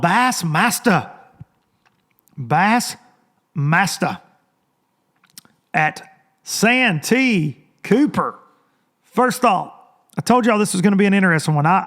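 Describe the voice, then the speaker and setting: A man hosting a casual podcast, hoarse and rambling, chatting loosely to his listeners into a microphone. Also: on the high side. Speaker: podcast host. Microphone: studio microphone.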